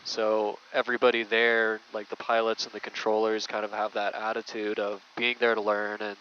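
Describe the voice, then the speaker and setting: Even, low-pitched man in muffled noisy audio. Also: moderate pace. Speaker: flight instructor, interviewer. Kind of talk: casual conversational interview.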